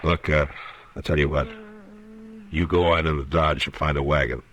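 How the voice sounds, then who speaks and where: An actor playing a grave, steady Old West lawman in an old radio western, speaking in measured, terse phrases with hesitant pauses. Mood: concerned. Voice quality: very deep voice. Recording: old radio drama recording.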